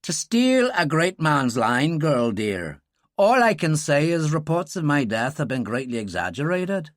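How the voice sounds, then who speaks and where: A man's voice: on the high side, very animated, measured pace, no echo. A man doing a hard wry Belfast man. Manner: hard, dry and teasing.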